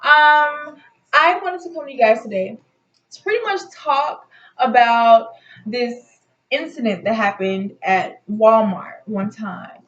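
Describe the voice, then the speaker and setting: A woman's voice: on the high side, slow, fairly bright tone. A woman telling a personal story in a casual, rambling, conversational way, like a vlogger chatting to her viewers. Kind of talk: casual storytime chat to camera. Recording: roomy echo, slightly dull lo-fi sound.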